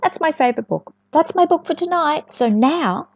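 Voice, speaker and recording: A woman's voice: high voice, animated, normal speed, no room sound, muffled recording. A woman telling a picture-book story to young children, with chatty asides and words repeated for emphasis.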